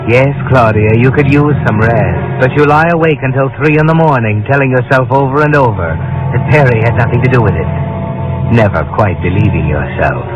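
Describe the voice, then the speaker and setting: Male actor as sinister unseen narrator, dramatic, insinuating, dull vintage radio sound over loud music. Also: mid-range voice, brisk, close to an everyday voice. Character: ominous unseen male narrator addressing the heroine. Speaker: narrator of a suspense radio series. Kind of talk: 1940s radio suspense narration in second person.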